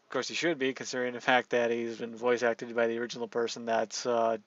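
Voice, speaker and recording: A man hosting a gaming video with casual, halting, unscripted commentary. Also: mid-range voice, little pitch movement, dull audio. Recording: faint game noise behind voice. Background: video game ambience.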